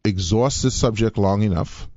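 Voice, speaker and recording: A man's voice: fairly low pitch, normal speed, darkish tone, dry recording, lo-fi sound. A man from the Bahamas hosting a live radio talk show, emphatic and playful.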